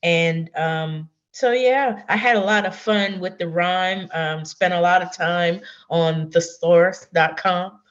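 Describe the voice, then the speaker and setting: A woman poet talking casually and deliberately about her own work, repeating words for emphasis, over a dull call recording. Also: fairly low pitch.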